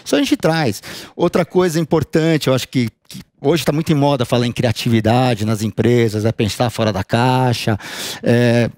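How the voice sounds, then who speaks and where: A middle-aged man from São Paulo with a Paulistano accent, a guest answering interview questions thoughtfully, pausing and restarting his sentences. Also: mid-range voice, a little mellow, dry recording. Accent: Paulistano Brazilian Portuguese. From São Paulo, Brazil. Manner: thoughtful, halting, restarts often. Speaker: guest, sales and operations director.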